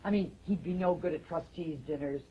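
An actress playing a bitter, taunting middle-aged wife in a stage drama, mocking and theatrical with drawn-out emphasis. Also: female voice, on the low side, expressive, lo-fi sound, slight background noise, natural voice. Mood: scornful, savage. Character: bitter, taunting middle-aged wife telling stories about her husband.

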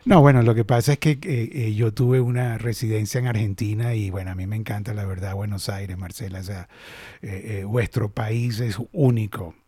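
A man's voice: on the low side, fairly even intonation, normal speed, dark tone, slightly rough, no echo. A middle-aged Venezuelan man hosting a casual industry chat, talking animatedly with many restarts. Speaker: host.

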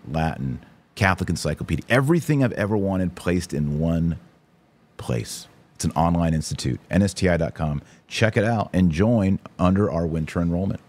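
Male podcast host, low-pitched voice. Informal, emphatic, opinionated religious talk delivered straight to listeners. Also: a little mellow. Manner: informal, emphatic, slangy, direct address. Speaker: online course teacher. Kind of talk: casual opinionated religious commentary to an audience.

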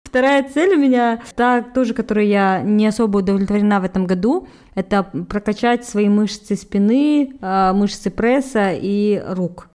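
A woman co-hosting a casual podcast, upbeat and quick to approve the others. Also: mid-range voice, darkish tone. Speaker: podcast co-host.

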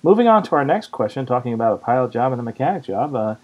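A man's voice: medium pitch, sing-song, fast talker, husky. Male podcast host, slightly muffled audio. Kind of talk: casual panel discussion, answering listener questions.

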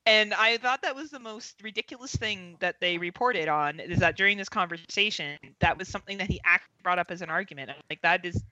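A woman's voice: fairly low pitch, brisk, hardly any pauses, bright, forceful tone, no echo, dull audio. A woman from Ontario with a Canadian accent, chatting casually as a podcast guest and laughing often. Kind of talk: casual chat.

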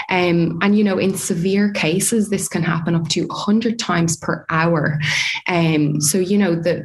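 Dublin-accented woman explaining calmly. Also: on the low side, low-quality recording. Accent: Irish, Dublin. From Ireland. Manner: frequent "um" fillers, measured. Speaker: speech pathology researcher. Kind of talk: academic discussion, explaining.

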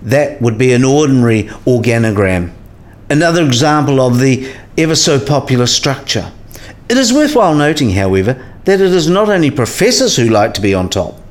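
A man playing a cynical, sidelined professor in a radio play, explaining things in a wry, didactic way with measured phrasing. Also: mid-range voice, big pitch swings, close to an everyday voice. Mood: dry lecturing. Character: cynical, sidelined male professor giving career advice.

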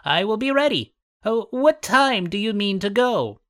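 A man voicing a small, clever, stubborn male pig in a squeaky, sing-song way in a storybook reading. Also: sing-song, unhurried, a bit bright, full character voice. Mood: cheeky.

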